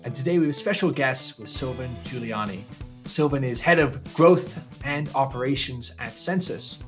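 A man's voice: medium pitch, normal speed, a bit bright, soft background music. A man hosting a business podcast, introducing guests and asking questions with upbeat enthusiasm. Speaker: podcast host. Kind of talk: podcast interview.

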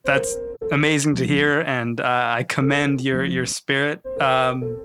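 A man's voice: medium pitch, level tone, measured pace, over music. A man hosting a podcast, friendly and conversational while interviewing guests. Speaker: podcast host. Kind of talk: interview and narration.

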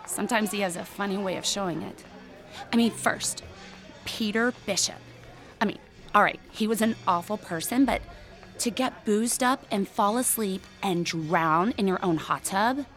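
A woman's voice: mid-range voice, soft background music, some chatter behind, natural voice. Woman playing a blunt, gossipy teenage girl. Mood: sardonic, gossipy. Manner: halting, conversational, sardonic. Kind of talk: audio drama acting.

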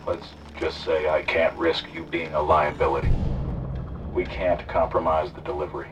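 A menacing handler in an audio drama. His voice is extremely deep and sounds distant, with noise around it. He is cold, controlled and quietly threatening. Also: level tone, normal speed, lo-fi sound. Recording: distant, noisy, radio-like sound.

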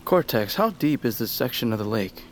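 An actor playing a steady, reassuring doctor in a naturalistic audio drama, speaking in brief, understated replies. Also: male voice, medium pitch, moderate pace, soft background music, lightly acted. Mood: subdued.

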